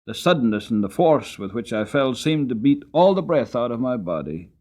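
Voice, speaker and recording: A man voicing a weary, long-suffering horse who tells his life story in earnest, deliberate, dramatic storybook narration. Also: medium pitch, very animated, a little mellow. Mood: weary, strained.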